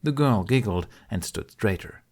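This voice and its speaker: Low-pitched man narrating a story. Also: lively intonation, moderate pace, a little mellow. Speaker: audiobook narrator.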